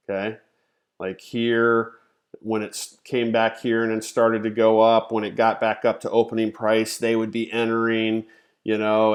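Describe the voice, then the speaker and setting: A man in his thirties with a nasal, congested voice, teaching a live-stream chart lesson in a casual way that thinks aloud. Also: on the low side. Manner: conversational, thinks aloud, frequent "okay?" and "you know". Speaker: trading educator, live stream host.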